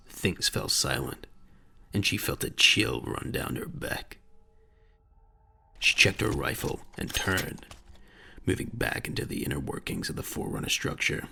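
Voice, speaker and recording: Very deep, gravelly male dramatic narrator. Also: sharp tone.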